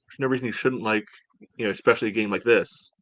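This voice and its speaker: Male co-host, rapid casual sports chat, lowish pitch, very dry close sound, informal. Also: fairly bright tone, no echo. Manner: informal, peppered with "you know". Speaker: podcast co-host.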